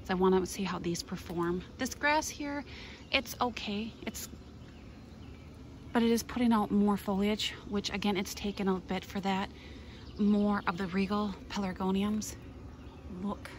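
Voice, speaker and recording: A woman from Minnesota gives an eager, chatty tour of her outdoor garden, pointing things out as she goes. Her voice sits at an ordinary middle pitch, recorded on a handheld phone. Faint birdsong and outdoor air sit softly behind her. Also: steady tone, a little slow, a little hiss or hum. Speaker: gardening vlogger.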